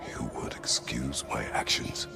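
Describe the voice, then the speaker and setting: An actor playing a brooding, stern old warrior god in a video game cutscene, speaking tersely and gravely in a gruff voice. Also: male voice, measured pace, natural voice. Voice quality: deep gravelly voice. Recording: game music behind the voice.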